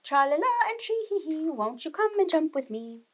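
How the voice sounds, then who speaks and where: Casting: woman to play a bold, bouncy storybook little girl, high and swooping, chanting her lines. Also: big pitch swings, normal speed. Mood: playful, bouncy. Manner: sing-song chanting, exclamatory. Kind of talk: children's storybook read-aloud.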